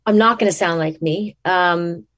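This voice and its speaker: A woman actress talking casually in an interview, thinking aloud and restarting her sentences, her pitch jumping up when she makes a point. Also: a little slow, a bit bright. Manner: rises in pitch when emphatic.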